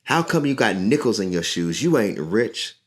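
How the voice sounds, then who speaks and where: A man's voice: on the low side, big pitch swings, normal speed. A man voicing a rude, taunting bully boy in a read-aloud picture book.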